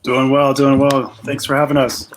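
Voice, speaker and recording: A man speaking casually as an interview guest, telling things in a halting, earnest way with many fillers. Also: medium pitch, completely flat delivery, slight background noise. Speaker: guest, rugby coach and organizer.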